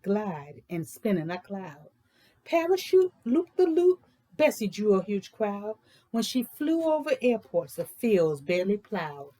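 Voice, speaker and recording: A woman host reading aloud for children with expressive, emphatic phrasing. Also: medium pitch, low-quality recording. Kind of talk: expressive picture book read-aloud in rhyming verse.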